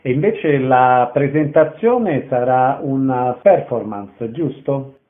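A thin, phone-like sound carries a man with a medium-pitched voice. He acts as interviewer, speaking in a concise, matter-of-fact way. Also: expressive, slow, a little hiss or hum. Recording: narrow telephone-like radio sound.

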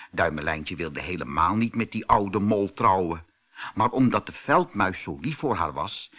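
A man reading a fairy tale as an audiobook storyteller, with expressive scripted narration. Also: fairly low pitch.

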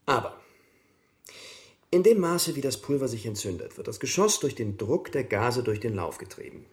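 Actor as animated, lecturing army captain. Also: male voice, medium pitch, normal speed, close to an everyday voice. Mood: stern lecturing. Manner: didactic, authoritative. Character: brisk, lecturing, condescending male army captain. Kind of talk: radio drama acting.

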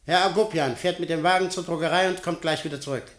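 Radio drama casting: man playing a calculating director, fairly high male voice, curt and commanding, used to being obeyed. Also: steady tone, fast, a bit bright, natural voice. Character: domineering, calculating business director who buys and threatens. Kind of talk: German radio play acting.

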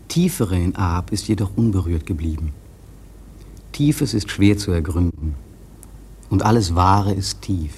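A man's voice: low voice, mellow, breathy edge. A man giving solemn, brooding, rhetorical literary narration in a radio play.

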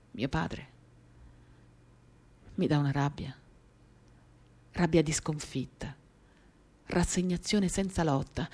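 A woman giving an intimate, measured dramatic reading of a literary text, pausing between short phrases. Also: on the low side, a little mellow. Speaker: reader of a novel. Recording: guitar music under the voice. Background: acoustic guitar.

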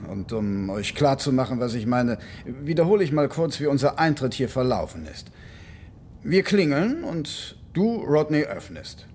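An actor playing a seasoned interrogating cop in a German radio crime drama, cunning and exasperated, lecturing deliberately and with condescension. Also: male voice, mid-range voice, big pitch swings, darkish tone, a little hiss or hum, close to an everyday voice. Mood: controlled, menacing.

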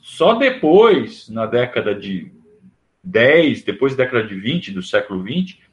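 A man's voice: mid-range voice, very animated, a little slow, dull audio. A man, talking casually and at length in a rambling livestream monologue, full of restarts and fillers. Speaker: livestream host. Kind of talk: casual monologue on club history.

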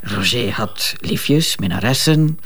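Middle-aged Flemish wife: worn-out, sardonic, frank and unabashed, for radio-play dialogue. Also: female voice, rumbling low, animated, takes it slowly, gravelly, slightly rough, exaggerated voice. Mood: bitter, resigned. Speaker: actor. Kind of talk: audio drama dialogue.

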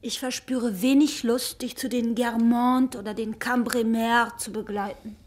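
A woman's voice: on the high side, steady tone, unhurried. An actress playing a reserved, reluctant young woman, a guarded companion in a literary radio play. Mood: unwilling. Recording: music playing behind the voice.

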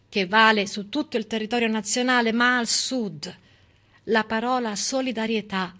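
A woman performs a civic stage monologue in a high, bright, forceful voice. She speaks rhetorically and with irony, stressing key words and setting them off with pointed pauses. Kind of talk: theatrical civic monologue, persuasive storytelling.

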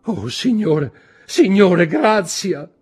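A man's voice: fairly low pitch, lively intonation, slow pace, darkish tone, breathy edge, soft background music, full character voice. A man voicing a frightened young wife in an audiobook drama, stammering and begging in gasped, pleading exclamations. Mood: desperate begging.

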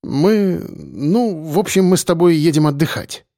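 Male narrator as harried adult son, fairly high male voice, insistent, impatient, dry close sound, audiobook drama. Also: lively intonation, mellow, no echo. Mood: hurried, evasive. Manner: hurried, breathy exhaling, insistent. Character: harried grown son, urgent, impatient with his mother.

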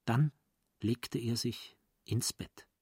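Male storyteller, medium pitch, raspy, slow, dry close sound, literary radio drama narration. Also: darkish tone, no echo.

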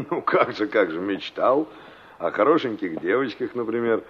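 An actor playing a brusque, mocking carpenter in a Soviet radio play, talking down to a younger listener. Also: male voice, fairly low pitch, animated, normal speed, slight background noise, close to an everyday voice. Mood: brusque, teasing. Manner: sardonic, condescending. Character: brusque, mocking carpenter, older than the girl he talks to. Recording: dull, muffled 1960s radio recording.

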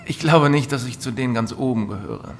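An actor playing a calm, patient professional man, reassuring and methodical, in a German radio drama. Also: mid-range voice, fairly quick, slight background noise, close to an everyday voice. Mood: calm, courteous. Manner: composed, reassuring, methodical questioning. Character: calm, patient male professional advising a troubled client.

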